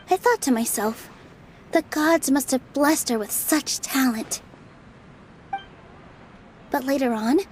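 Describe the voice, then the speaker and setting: A woman playing a gentle, sincere young dancer in a video game, modest and reflective. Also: high voice, slight background noise, rough recording. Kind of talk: scripted video game dialogue. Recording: light background music under game dialogue.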